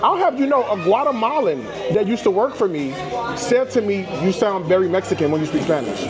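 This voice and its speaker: A man hosting a food vlog, chatting playfully and competitively while mixing English with halting Spanish. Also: high-pitched, animated. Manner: joking, competitive, switching between English and broken Spanish. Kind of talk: playful casual chat. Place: busy restaurant. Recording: echoey room with crowd chatter and music.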